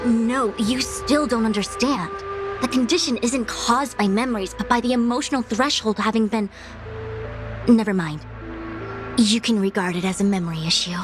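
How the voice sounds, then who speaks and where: A woman voicing a proud, dutiful diviner for a video game, speaking in a formal, measured, articulate way. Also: on the high side, lightly acted. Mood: instructive. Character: proud, dutiful female diviner, youthful-sounding commander and lecturer. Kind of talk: English video game voice acting. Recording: music behind the voice.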